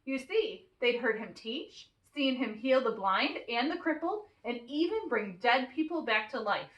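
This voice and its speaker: A high, kindly puppet voice, put on by a woman, patient and encouraging. It gently corrects and explains, as in a children's puppet show. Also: expressive, measured pace, echoey room, recorded from a distance, muffled recording.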